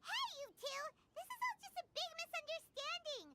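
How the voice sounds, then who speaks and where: A woman voicing a tiny excitable floating fairy girl in a video game, squeaky and childlike, full of exclamations. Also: moderate pace, very noisy. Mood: puzzled, chatty. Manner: exclamatory, refers to herself by name. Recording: game music behind the voice.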